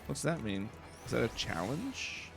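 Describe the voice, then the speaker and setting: A man giving casual, low-key video game commentary, musing aloud to himself as he plays. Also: big pitch swings, measured pace, creaky, music in the background. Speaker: let's play streamer.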